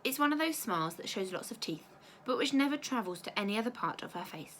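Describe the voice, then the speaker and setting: A woman's voice: fairly high pitch, expressive, fast talker, a bit bright, slight background noise. Woman reading a children's story aloud. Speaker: teacher reading aloud to pupils.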